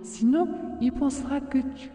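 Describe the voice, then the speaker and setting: A performer voicing a gentle, watchful parent spirit in a comic dub, with heavy reverb on the voice. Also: high voice, measured pace. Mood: quietly trusting. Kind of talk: dramatic comic dub monologue. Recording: distant, very echoey hall reverb, music behind.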